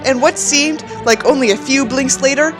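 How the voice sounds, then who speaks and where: A woman's voice: high voice, moderate pace, music in the background, lightly acted. A woman reading as a self-important, bitter young man writing his journal, in a melodramatic and pompous manner. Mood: bitter, resentful. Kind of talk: mocking dramatic reading of a novel.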